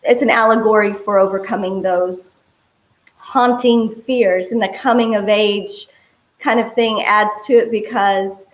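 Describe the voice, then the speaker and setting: A woman's voice: mid-range voice, steady tone, a little slow. A woman speaking as a film director guest in a casual interview, in loosely strung sentences dotted with "you know". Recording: roomy, echoing voice.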